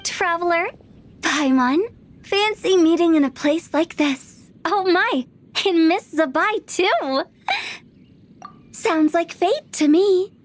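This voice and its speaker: A playful teen girl in a game, really high voice, takes her time, nosy n teasing. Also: slow pace. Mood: breezy, curious. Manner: teasing, theatrical. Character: playful, inquisitive, mischievous teenage girl. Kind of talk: video game voice acting.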